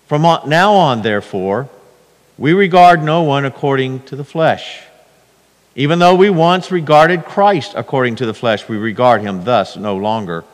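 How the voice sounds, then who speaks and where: An older man in his sixties delivers a sermon from a church sanctuary. His voice sits at an ordinary pitch, and he reads scripture in a measured, emphatic way. A long hall reverb trails after his words. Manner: measured, emphatic reading with hand gestures. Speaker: pastor.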